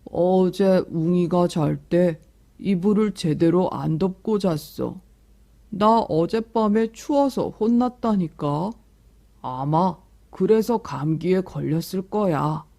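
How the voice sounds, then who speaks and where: Woman as weary, slow-talking sick body part. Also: lowish voice, creaky, dark tone. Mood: achy, complaining. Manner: labored, complaining. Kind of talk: children's picture book read-aloud.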